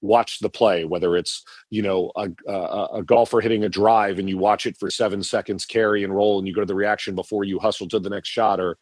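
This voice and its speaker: A man's voice: lowish voice, fairly even intonation, fairly quick, few pauses, a little mellow, no echo. A middle-aged man, a TV producer, answering podcast questions in a rambling way with many restarts and repeated words. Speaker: TV producer guest.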